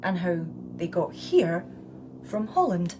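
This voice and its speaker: An actress playing a bold, sharp-eyed young police detective in a radio drama, probing and teasing. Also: female voice, fairly low pitch, sing-song, takes it slowly, background noise. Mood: suspicious, pointed.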